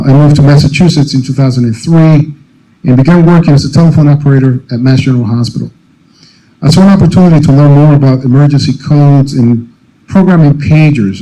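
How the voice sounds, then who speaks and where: A middle-aged man from the Dominican Republic, a native Spanish speaker, giving a prepared speech at a college convocation, earnest, with frequent pauses and fillers. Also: mid-range voice, mellow, low-quality recording. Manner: many uh and um fillers, measured and earnest. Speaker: alumni speaker.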